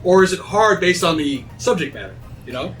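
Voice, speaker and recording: A man in relaxed, casual conversation, speaking quickly and acting out little imagined quotes as he goes. He sits some distance from the microphone, and music plays clearly behind him. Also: high-pitched. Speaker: panelist. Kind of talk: casual chat.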